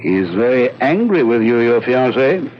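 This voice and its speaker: An actor playing a shrewd, composed gentleman detective in a 1970s radio drama, questioning in a measured, urbane way. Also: medium pitch, sing-song, background noise, lightly acted. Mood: amused, sly. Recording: dull, lo-fi old radio recording.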